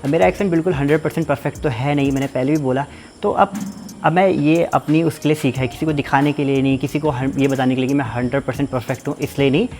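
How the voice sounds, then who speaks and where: Fast-talking tinged young man. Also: medium pitch, steady tone, darkish tone, slight background noise. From Uttarakhand, India. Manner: quick, repetitive, informal, self-explaining. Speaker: vlogger. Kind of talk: casual family vlog chat. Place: village home in the hills.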